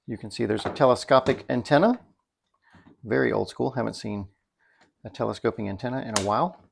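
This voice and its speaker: A man giving a casual, unscripted product demonstration in a folksy, wry way, thinking out loud as he goes, with a slightly gravelly voice. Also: medium pitch, brisk, a little hiss or hum. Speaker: product reviewer.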